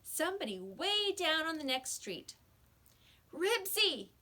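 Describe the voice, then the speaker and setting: A woman reading a children's story aloud with expressive, scripted narration. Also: fairly high pitch, a little slow.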